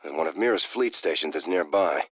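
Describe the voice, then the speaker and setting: An actor playing a pragmatic, weary fleet officer in a video game, plain-spoken and wry. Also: male voice, extremely low pitch, animated, brisk, fairly bright tone, no room sound, studio quality, exaggerated voice. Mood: businesslike. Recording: voice over game music.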